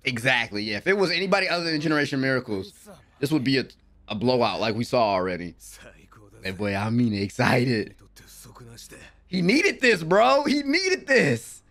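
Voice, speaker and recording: A young adult man giving excited, slangy live reaction commentary as a host, full of hyped exclamations. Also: medium pitch, normal speed. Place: small room at home, indoors. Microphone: desk microphone, close.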